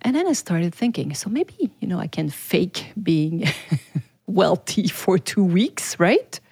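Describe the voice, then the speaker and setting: A woman's voice: lowish voice, very animated, takes it slowly, a little mellow, slightly rough, no room sound. A middle-aged woman with a French accent, hosting a podcast in a chatty way, often laughing and restarting her sentences. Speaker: podcast host. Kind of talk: casual solo podcast talk.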